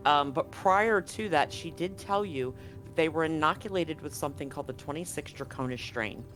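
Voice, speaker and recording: A man narrating a live tabletop game as game master, conversational and often halting to correct himself. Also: a little high, fairly bright tone. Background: music.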